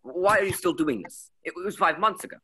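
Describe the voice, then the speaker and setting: A man's voice: sits high, a little fast, sharp tone, husky, heavily acted. A young man acting in a radio play as a harried, exasperated governor on the defensive. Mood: pleading. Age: young adult. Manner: exasperated, emphatic.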